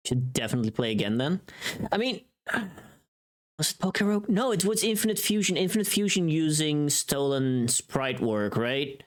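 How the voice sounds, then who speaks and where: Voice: medium pitch, big pitch swings, normal speed. Casual, rambling livestreamer with mid-range voice. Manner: rambling, muttering to self, humming. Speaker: livestreamer, voice actor. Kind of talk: casual livestream chat while working.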